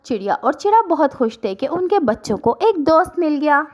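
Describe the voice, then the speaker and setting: Woman, children's storyteller, high pitch, highly varied intonation, scripted cartoon narration. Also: sing-song, moderate pace, a little mellow.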